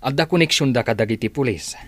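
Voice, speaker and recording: An actor playing a gentle middle-aged father who soothes his daughter, in a radio drama. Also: medium pitch, quick pace, natural voice. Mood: reassuring.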